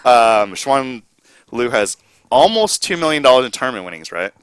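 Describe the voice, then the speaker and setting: A man's voice: mid-range voice, sing-song, normal speed, smooth, no room sound. A man hosting a casual live interview, joking and teasing his guest and laughing often. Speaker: podcast host. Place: studio at a casino.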